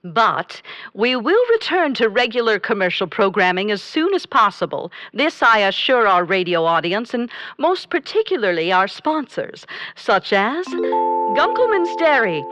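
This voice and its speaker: A stuffy station manager in a radio comedy, speechifying with formal pomposity. The voice is medium in pitch and very dry, free of room sound. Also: female voice, big pitch swings, a little slow, a bit bright, no echo. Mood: pompous. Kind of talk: comedic radio drama acting.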